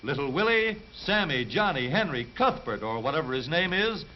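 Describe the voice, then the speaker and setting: A man's voice: fairly high pitch, big pitch swings, normal speed, a little hiss or hum. A man with an American accent delivering jaunty, dramatic 1930s newsreel commentary. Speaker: newsreel narrator. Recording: dull, humming 1930s newsreel soundtrack.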